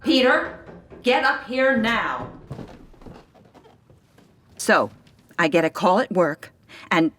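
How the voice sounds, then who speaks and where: A woman's voice: fairly low pitch, measured pace, pauses often, fairly bright tone, slight background noise. An actress playing a fussing, insistent motherly aunt in a radio drama, with emphatic delivery. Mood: exasperated.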